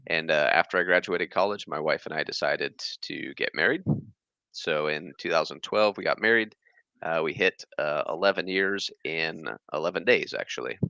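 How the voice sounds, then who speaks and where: Rambling male guest, 30s, very deep but bright tone, dry, slightly dull mic. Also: normal speed, vocal fry, no room sound. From born in Germany, raised across the US. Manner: rambling, many fillers and restarts. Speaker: guest, fish channel creator. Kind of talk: casual interview chat.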